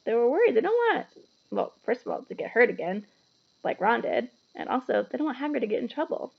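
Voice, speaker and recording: A woman reading a story aloud to children in an animated, explanatory way, with chatty asides. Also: fairly high pitch, a little fast, vocal fry, highs cut off.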